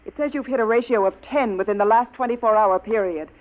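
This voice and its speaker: A woman's voice: fairly high pitch, fast, natural voice. A woman playing a clipped, authoritative military commander in a 1950s radio drama. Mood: stern. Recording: tinny 1950s radio recording.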